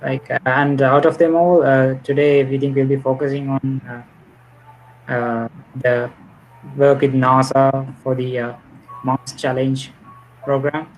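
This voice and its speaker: Young man, co-host, halting, monotone, faint background noise. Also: mid-range voice, low-quality recording. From Sri Lanka. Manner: halting, many fillers.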